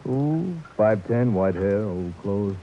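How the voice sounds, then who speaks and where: An actor playing a gruff, matter-of-fact police sergeant in a vintage radio drama. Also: male voice, fairly low pitch, animated, slow pace. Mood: offhand. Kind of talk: 1940s radio acting. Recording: noisy lo-fi old radio broadcast.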